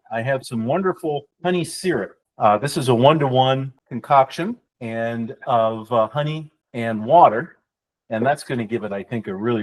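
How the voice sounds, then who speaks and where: A man co-hosting a podcast, talking through a cocktail recipe step by step as he mixes it. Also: mid-range voice. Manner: descriptive, explanatory, with fillers. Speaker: podcast co-host, drink mixer. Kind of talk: casual podcast chat, explaining a cocktail.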